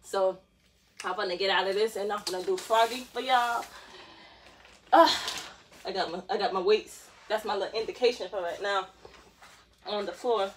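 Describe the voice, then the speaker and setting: A woman's voice: medium pitch, normal speed, long pauses, a little hiss or hum. Young woman, easygoing and playful, talking to viewers mid-stretch from the floor of a small room. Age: 20s. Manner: chatty, joking, lots of laughs and slang. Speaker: dance vlogger. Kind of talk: casual chat to camera while stretching. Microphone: phone camera on the floor.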